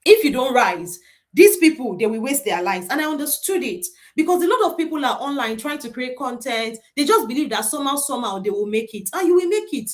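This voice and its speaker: A woman's voice: high-pitched, expressive, fairly quick. A middle-aged Nigerian woman hosting a live online boot camp as a career coach, talking animatedly and repeating her points with prayerful encouragement.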